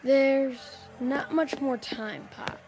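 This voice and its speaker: A woman playing a gentle little boy devoted to his father, speaking simply and childishly in radio-drama style. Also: sits high, fairly even intonation, slow pace, faint music behind. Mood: anxious. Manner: simple, childlike, brief replies. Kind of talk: dramatic radio-play acting.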